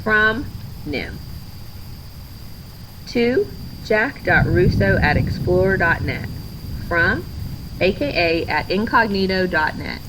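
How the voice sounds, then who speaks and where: Unhurried woman reading a children's story. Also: on the low side, expressive, noisy background. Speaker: storybook reader. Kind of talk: scripted children's story narration, read aloud.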